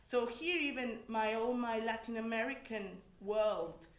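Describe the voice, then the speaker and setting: A woman from Mexico, an academic on a panel, speaking reflectively with frequent restarts. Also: a little high. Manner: reflective, halting, many restarts. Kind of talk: personal testimony on a panel. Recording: echoey room.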